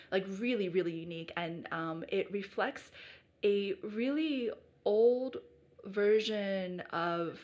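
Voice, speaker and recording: A woman's voice: mid-range voice, muffled recording. A young woman from the United States, chatting casually as an interview guest, with lots of fillers and easy laughter. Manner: chatty, many fillers and restarts, laughs easily. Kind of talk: casual conversation.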